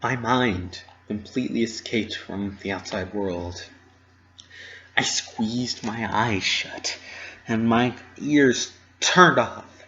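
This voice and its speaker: Male story reader, lowish pitch, dramatic first-person narration, slightly muffled lo-fi sound. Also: slow, slight background noise. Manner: expressive, dramatic delivery. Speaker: reader of fan fiction.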